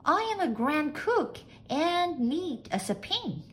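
A woman's voice: sits high, very animated, slow, voice pushed up, heavily acted. A woman voicing an eager, polite, plucky housekeeper in a storybook, with a chirpy manner. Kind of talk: storybook character acting.

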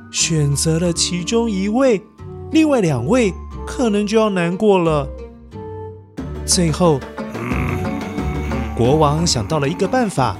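A man narrating a children's story in an animated, scripted storytelling style. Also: sits high. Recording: music behind the voice. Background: music.

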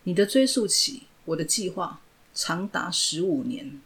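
A woman's voice: fairly low pitch, very animated, a little slow. A woman voicing a stubborn, proud young shop owner who speaks firmly and indignantly. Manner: firm, indignant, raised voice.

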